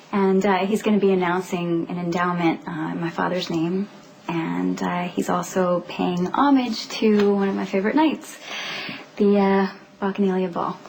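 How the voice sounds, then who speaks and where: A woman's voice: on the low side, fairly even intonation, a little slow, creaky, a little mellow, slight background noise, rough recording. An actress playing a supportive, loyal young woman in a television soap opera. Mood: excited, gracious.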